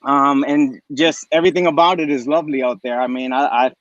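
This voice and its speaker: A man's voice: mid-range voice, fairly even intonation, a little fast, no echo. A man raised in Puerto Rico, a guest on a video call, talking eagerly and rambling with many restarts. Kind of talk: casual chat. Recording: dry muffled video call audio.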